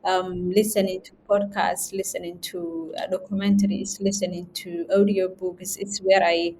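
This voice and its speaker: A young woman, a guest in an interview, talking in a halting way with many fillers and restarts. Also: on the low side, little pitch movement, a little mellow, slight background noise, rough recording. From Somali region. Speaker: guest, chief editor. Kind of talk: interview conversation.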